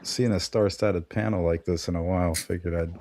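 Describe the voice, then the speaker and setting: A man's voice: low-pitched, fairly even intonation, brisk, mellow, dry recording. A man with a cold, sounding congested, greeting friends on a livestream. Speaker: guest.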